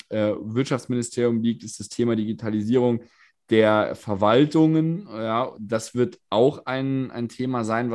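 A hoarse man co-hosting a casual political podcast, talking things through with many fillers and restarts, recorded remotely. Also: mid-range voice, darkish tone. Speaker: podcast co-host, state politician.

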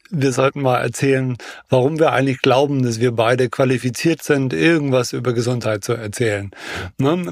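A man's voice: mid-range voice, no echo, studio quality. A man hosting a podcast while he has a cold, chatting casually and often asking for agreement. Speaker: podcast host.

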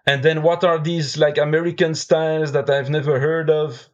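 A man in his forties with a French accent, a guest in a podcast interview, talking in an unhurried, halting way with many fillers. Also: fairly high pitch, flat delivery, dull audio. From Belgium. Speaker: guest, beer judge and brewer.

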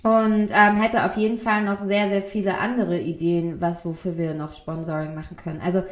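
A woman's voice: on the low side, moderate pace. A young woman co-hosting a podcast with a blunt, teasing manner. She talks casually and jokes easily with her co-host. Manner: joking, blunt, lively. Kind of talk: casual chat.

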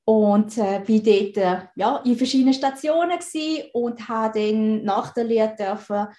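A woman's voice: on the high side, unhurried, mellow. A woman in her thirties from Switzerland with a Swiss German accent, chatting as an interview guest and often laughing. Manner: chatty, laughs easily, frequent restarts. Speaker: guest, recruiting consultant. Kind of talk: casual interview talk. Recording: roomy echo.

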